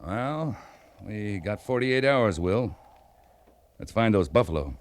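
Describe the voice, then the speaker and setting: An actor playing a resolute American army captain in an old-time radio western, speaking in a measured, authoritative way. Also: male voice, lowish voice, animated, soft background music. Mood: worried. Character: resolute, plain-spoken U.S. Army cavalry captain in the Old West. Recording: vintage radio drama recording.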